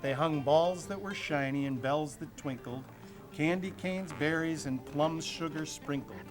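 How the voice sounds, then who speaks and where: A man's voice: fairly high pitch, measured pace, low-quality recording. A man reading a rhyming children's story aloud, with music playing behind him. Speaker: storybook reader.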